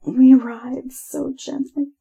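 A woman with a very slow, breathy delivery and a gravelly creak in her fairly high voice. She narrates intimate adult audio in a hushed, lingering way, often slipping into a whisper. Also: flat delivery.

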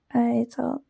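A young woman with a Dutch accent whispering softly and shyly into a close microphone, giggling often and hesitating with many fillers. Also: fairly high pitch, creaky, breathy edge, dry recording. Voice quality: soft whisper. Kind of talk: whispered personal ramble, ASMR-like.

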